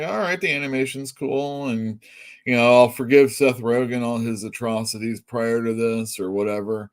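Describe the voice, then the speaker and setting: A middle-aged man hosting a comic shop show, chatting casually and bluntly, rambling, swearing and laughing at his own jokes. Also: mid-range voice. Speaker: comic shop podcast host. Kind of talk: casual opinionated chat.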